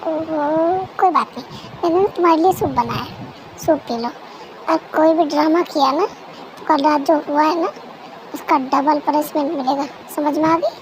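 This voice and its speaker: Lady doing a bossy husband, quiet and threatening, pitched down from her own high voice. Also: takes it slowly, slight background noise, poor audio. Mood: menacing. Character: stern, possessive young husband. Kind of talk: amateur fan-dub voice acting.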